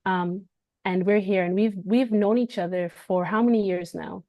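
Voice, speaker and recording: A woman's voice: medium pitch, steady tone, normal speed, dry recording, muffled recording. Rambling woman hosting a dry livestream. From Canada. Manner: rambling, many restarts and fillers, laughs. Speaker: livestream host. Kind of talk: casual livestream talk.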